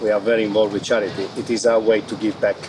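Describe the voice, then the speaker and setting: A man's voice: on the low side, level tone, fast. A man with an Italian accent, an executive giving an earnest interview at an outdoor event, often restarting phrases. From Italy. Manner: earnest, repeats and restarts phrases, non-native grammar. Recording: far from the mic with loud background noise.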